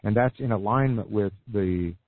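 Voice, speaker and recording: Hoarse husky man on a phone line. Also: fairly low pitch, moderate pace, no room sound. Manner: energetic, salesy, restarts often. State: losing his voice. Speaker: business coach guest. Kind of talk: podcast interview. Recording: phone-like lo-fi dry audio.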